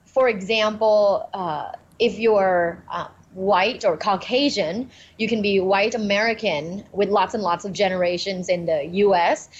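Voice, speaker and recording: Cheerful thai-born woman prof explaining stuff, kinda dull audio. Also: mid-range voice. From Thailand. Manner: cheerful, laughs easily, explains clearly. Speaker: guest professor. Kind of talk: explanatory interview answers.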